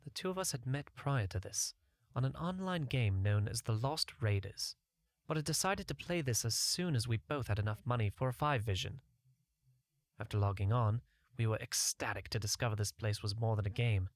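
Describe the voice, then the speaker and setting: A man's voice: medium pitch, lively intonation, normal speed, no room sound, studio quality. A man narrating a scripted first-person fantasy short story as an audiobook reader.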